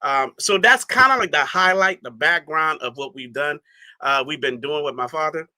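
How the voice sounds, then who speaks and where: A man's voice: on the high side, moderate pace, pushed and bright, no room sound. A man from the Bahamas, a guest in a casual interview, talking emphatically and often checking in with his listener. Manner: emphatic, repeats "you see what I'm saying".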